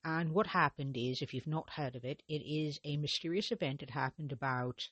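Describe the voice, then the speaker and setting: A man's voice: on the high side, normal speed, a bit bright, breathy edge, dry recording, lo-fi sound. A man hosting his own podcast, narrating a mystery in a conversational way with frequent restarts and hesitations. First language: not Russian. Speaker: podcast host.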